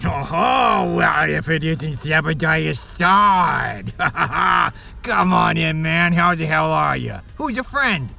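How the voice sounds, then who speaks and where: A man playing a boisterous, friendly larger-than-life fellow in a comedy audio drama, with a gravelly voice and hearty manner. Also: on the high side, animated, slow, long pauses, sharp tone, soft background music, heavily acted. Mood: hearty welcome.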